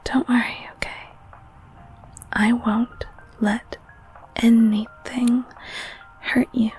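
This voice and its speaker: A woman voicing a loving, clingy, protective girlfriend in an intimate ASMR roleplay, speaking in a soft whispery voice with tender, gentle cooing. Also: on the high side, little pitch movement, extremely slow, soft background music. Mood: soothing, protective. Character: loving clingy girlfriend, secretly eldritch being, soothing and protective. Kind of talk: ASMR audio roleplay, intimate one-sided scene.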